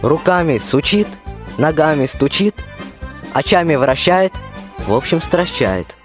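Young narrator telling a rhymed folk tale, middling pitch, accordion music playing behind. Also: animated, unhurried. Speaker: storyteller in a radio play.

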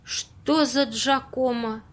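An actress plays an earnest, wondering woman in an audio drama. She has a high voice and speaks very slowly and deliberately, with a naive air. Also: steady tone. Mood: puzzled. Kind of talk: amateur audio play acting.

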